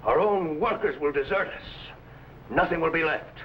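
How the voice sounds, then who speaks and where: An actor playing an earnest, proud landowner and boss in an old adventure drama, speaking with emphatic authority. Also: male voice, on the high side, moderate pace, lightly acted. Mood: alarmed, bitter. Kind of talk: vintage adventure drama acting. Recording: dull, noisy vintage drama soundtrack.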